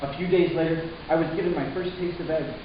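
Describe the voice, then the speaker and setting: A man playing a reflective young son who narrates his family's past in a measured, literary dramatic reading. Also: on the high side, fairly even intonation, muffled recording, background noise, close to an everyday voice. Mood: matter-of-fact. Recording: echoey, distant, music underneath. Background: music.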